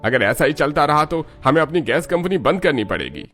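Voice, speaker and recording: A man's voice: on the high side, sing-song, a little fast, a bit bright, faint music behind. A man voicing an indignant, scheming gas dealer in scripted cartoon dialogue, with a blustering manner. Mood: worried, annoyed.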